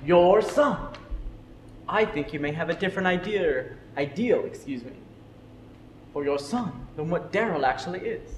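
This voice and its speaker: A man's voice: a little high, animated, moderate pace, natural voice. A man playing an earnest, opinionated history teacher who defends his methods, in amateur stage drama. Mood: insistent. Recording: echoey hall, faint noise.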